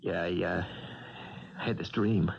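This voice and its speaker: Uneasy young boxer, muffled radio. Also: male voice, deep voice, fairly even intonation, slow, gravelly, heavily acted. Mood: scared, confiding. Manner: hesitant, stammering. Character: young male boxer, polite, uneasy, plainspoken. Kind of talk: 1970s radio drama acting. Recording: muffled old radio broadcast.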